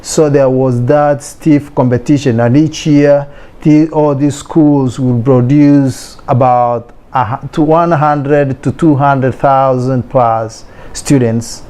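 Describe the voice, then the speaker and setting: Mellow-voiced man. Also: medium pitch, fairly even intonation, takes it slowly. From Kenya. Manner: earnest, explanatory, with hesitant fillers. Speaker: interviewee. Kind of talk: explanatory interview talk.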